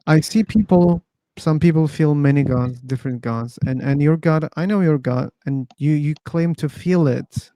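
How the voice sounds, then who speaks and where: A man's voice: fairly high pitch, normal speed, dark tone, dry recording. A man hosting an online discussion about belief, asking probing, teasing questions. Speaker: host. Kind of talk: casual debate chat. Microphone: streaming setup.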